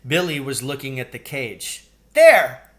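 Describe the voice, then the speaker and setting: A middle-aged man reads a children's novel aloud. His voice sits at an average male pitch. He delivers it as storybook narration for young listeners. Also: measured pace, a bit bright. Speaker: storybook reader, teacher. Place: indoors, large living room.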